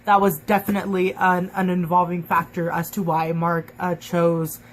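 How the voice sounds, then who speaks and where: A woman's voice: on the low side, completely flat delivery, normal speed, slight background noise, poor audio. Gushing young woman reviewing a book. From Mexican and Cuban heritage. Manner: gushing, chatty, lots of fillers and restarts. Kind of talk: casual book review vlog.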